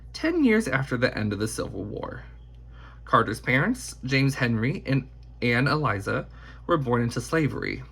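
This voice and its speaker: A man reads a storybook aloud with deliberate emphasis. His voice is bright and forward with a rough, husky grain, and his pitch moves in an animated way from phrase to phrase. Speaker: picture book reader. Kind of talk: scripted storybook read-aloud.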